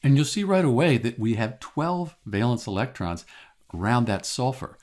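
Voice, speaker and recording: Calm male chemistry teacher, lively pitch. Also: normal speed. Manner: calm, methodical step-by-step explaining. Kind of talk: scripted lesson voice-over. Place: voice-over over a drawing screen.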